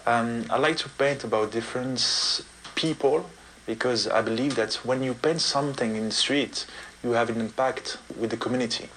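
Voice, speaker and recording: A French man with a French accent, giving unscripted interview answers in halting non-native English. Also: medium pitch, fairly bright tone, slight background noise, rough recording. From France. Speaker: street artist, interviewee. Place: artist's studio in Paris suburbs.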